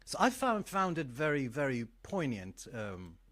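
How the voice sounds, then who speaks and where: A man co-hosting a talk show in casual, reflective conversation, thoughtful and halting as he searches for words. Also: medium pitch, very animated, a bit bright.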